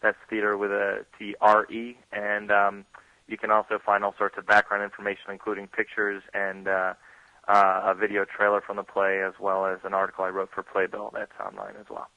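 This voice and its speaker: A man's voice: lowish voice, monotone, a little fast, sharp tone, no echo, dull audio. A man chatting casually as an interview guest, easygoing and jokey. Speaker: guest actor and screenwriter.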